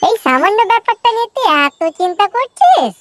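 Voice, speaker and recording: A man voicing a scheming, teasing, foul-mouthed cartoon buddy with a West Bengali accent and a pitched-up effect. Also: wildly swooping pitch, fairly quick, music in the background, heavily acted. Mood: self-assured. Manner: teasing, self-assured. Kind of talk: crude comedy cartoon dialogue.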